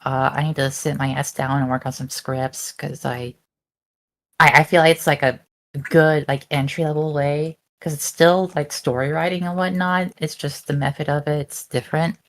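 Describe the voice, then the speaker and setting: A woman's voice: low-pitched, steady tone, normal speed. A woman talking casually about her hobbies on an online call, hesitant and full of fillers. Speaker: group chat participant. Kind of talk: casual chat.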